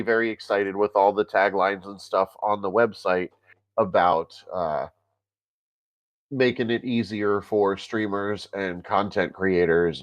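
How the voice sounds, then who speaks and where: A man with a stuffy, congested voice, co-hosting a casual tech podcast over a remote call and pausing often with filler sounds. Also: on the low side, highs cut off. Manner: halting, many filler sounds. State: congested from a cold. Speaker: podcast co-host.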